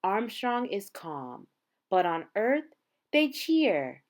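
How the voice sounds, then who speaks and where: A woman reading a children's picture book aloud, with measured, dramatic phrasing and drawn-out words. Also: medium pitch, big pitch swings. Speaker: storybook reader.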